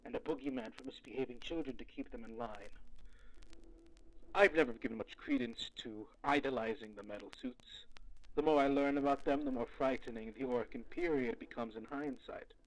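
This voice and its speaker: A man's voice: mid-range voice, lively intonation, moderate pace, close to an everyday voice, poor audio. A man playing a wry, jaded investigator dictating formal field logs in a scripted audio drama. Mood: wry, reflective. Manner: sardonic, formal, articulate.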